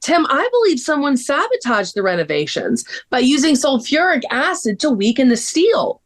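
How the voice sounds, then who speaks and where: A woman's voice: high-pitched, very animated, normal speed. A woman playing an earnest, take-charge amateur sleuth in a scripted table read. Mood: earnest, urgent.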